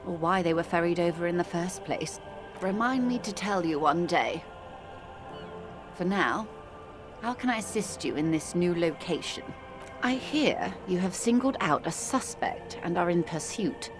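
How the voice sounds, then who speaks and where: Actress playing cultured moneyed woman, slightly low pitch, wry, condescending, composed game character, music behind. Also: natural voice. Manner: arch, refined, dryly ironic. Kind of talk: video game dialogue acting.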